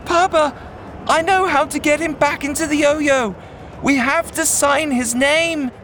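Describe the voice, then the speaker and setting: A man voicing a frightened but determined young boy who talks in a high, urgent voice. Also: slow, bright, forceful tone, a little hiss or hum, full character voice.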